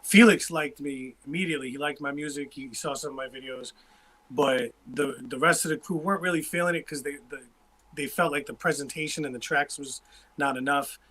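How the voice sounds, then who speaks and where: Bright-voiced New England man. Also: a little high, brisk, poor audio. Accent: Boston-area New England. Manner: loose, rambling, self-interrupting. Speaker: musician guest. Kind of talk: casual livestream interview.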